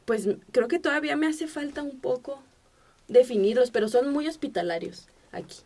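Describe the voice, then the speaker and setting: A Mexican woman from central Mexico speaking as a podcast guest in a casual, animated conversation, acting out the remarks she quotes. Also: high voice, moderate pace.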